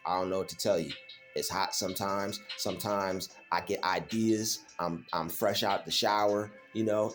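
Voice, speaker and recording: A man hosting his own faith vlog alone at home, talking casually and emphatically, repeating phrases and using many fillers. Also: fairly low pitch. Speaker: channel host.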